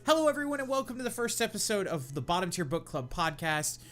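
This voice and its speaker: Lively, bright-voiced young man reading aloud. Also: high voice, fast, soft background music. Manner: animated, mock-dramatic reading. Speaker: podcast co-host reading fan fiction. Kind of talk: comedic podcast reading.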